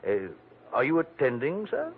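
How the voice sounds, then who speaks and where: A man's voice: fairly high pitch, huge pitch swings, normal speed, slight background noise. An actor playing a pompous, genteel, scheming male villain with florid, effusive phrasing in a 1940s radio drama. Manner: florid, effusive, chuckling, hesitant polite phrasing. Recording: tinny band-limited old radio recording.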